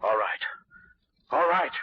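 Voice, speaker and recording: An actor playing a desperate, guilt-haunted murderer posing as a gardener, raspy and nervous, in 1940s radio mystery style. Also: male voice, fairly high pitch, lively intonation, slow pace, full character voice. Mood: desperate confession. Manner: nervous, overwrought, melodramatic. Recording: dull vintage 1940s radio recording.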